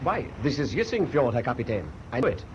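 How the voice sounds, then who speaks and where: A man's voice: medium pitch, very animated, quick pace, lightly acted. An actor playing a cautious, dutiful German first officer in a wartime radio play, reporting formally to his superior. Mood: worried, dutiful. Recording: muffled, noisy old radio drama recording.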